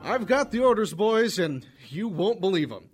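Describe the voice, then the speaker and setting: A man's voice: high-pitched, big pitch swings, moderate pace, soft background music. A man playing a tough, battle-hardened Marine sergeant giving orders in a radio war drama. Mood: firm briefing. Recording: noisy, gunfire and battle sounds behind.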